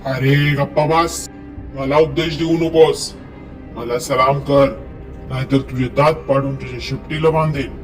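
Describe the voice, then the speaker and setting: A man's voice: mid-range voice, fairly even intonation, measured pace, soft background music, close to an everyday voice. A man voicing a conceited wolf who bullies the jungle in a children's cartoon, bossy, menacing and smug. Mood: irritated. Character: swaggering conceited male wolf, jungle bully. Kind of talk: children's cartoon story acting.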